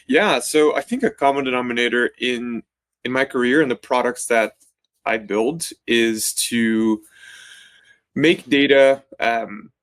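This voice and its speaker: A man in his thirties from Brazil, a company founder answering interview questions in a measured, explanatory way with frequent fillers. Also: mid-range voice, steady tone, a bit bright, no room sound.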